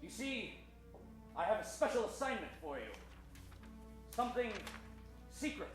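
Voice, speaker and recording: A man performs a self-important government official in old-time radio comedy style. He is officious and formal. The sound is very echoey, with music clearly behind him. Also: sits high, sing-song, unhurried. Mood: officious, urgent.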